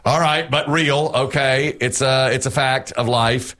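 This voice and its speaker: A man's voice: mid-range voice, steady tone, a little slow, a bit bright. A man hosting a radio show, running a listener game and joking between calls. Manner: jokey, reads listener lines.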